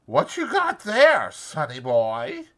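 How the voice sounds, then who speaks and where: High-pitched, coaxing young wife. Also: male voice, huge pitch swings, slow pace, sharp tone, heavily acted. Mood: teasing. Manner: coaxing, folksy uneducated speech. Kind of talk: dramatized audiobook character acting.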